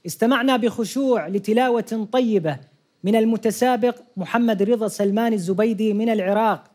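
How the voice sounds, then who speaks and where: Male TV host, high-pitched, brisk, formal introductions. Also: a little mellow. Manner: formal, welcoming. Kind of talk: show hosting.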